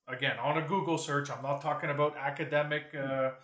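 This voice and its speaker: A man's voice: on the high side, little pitch movement, brisk, a bit bright. A man chatting casually as a podcast co-host, posing questions in an opinionated, joking way and swearing freely. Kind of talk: casual chat. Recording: roomy, echoey sound.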